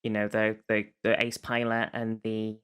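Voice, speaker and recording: A podcast host with a mid-pitched voice that is bright and cleanly resonant. The delivery is casual, like talk between friends, with a hesitant search for the right word as the recap goes on. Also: monotone, moderate pace, clean tone. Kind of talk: casual chat recapping a TV show.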